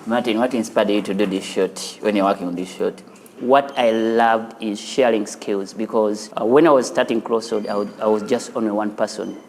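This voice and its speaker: A man, a studio founder and teacher, talking in an earnest, unhurried way with small restarts in a documentary interview. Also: fairly low pitch, steady tone. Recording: interview over loud background music.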